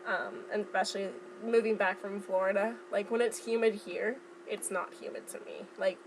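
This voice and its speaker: A woman's voice: medium pitch, fairly even intonation. Young woman, casual vlog, noisy recording. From Southern California. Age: young adult. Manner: informal, halting as she recalls. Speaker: vlogger. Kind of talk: casual chat vlog, recounting from memory.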